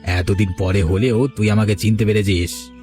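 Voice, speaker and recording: A man's voice: lowish voice, steady tone, normal speed, lightly acted. A man playing a bossy, scheming criminal in a cartoon story, talking in a familiar, commanding way. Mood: coaxing. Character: scheming male village trafficker and his masked boss. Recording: music behind the voice. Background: background music.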